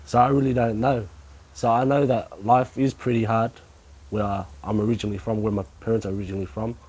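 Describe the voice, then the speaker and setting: A man's voice: fairly low pitch, steady tone, brisk, darkish tone, husky, low-quality recording. A young man giving a reflective interview answer, repeating himself as he thinks. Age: young adult.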